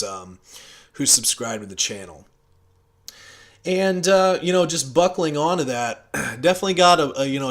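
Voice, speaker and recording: An American man talking casually and unscripted as a channel host, in a rambling, conversational way with hesitant pauses. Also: medium pitch, a bit bright. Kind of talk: casual unscripted audio log.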